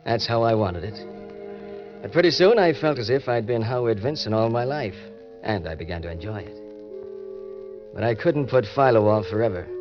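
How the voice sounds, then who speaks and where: An actor playing a greedy, scheming young impostor who confides like a hard-boiled narrator in an old-time radio crime drama. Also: male voice, fairly low pitch, natural voice. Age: young adult. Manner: colloquial, confiding tough-guy storytelling. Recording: muffled vintage radio recording with music.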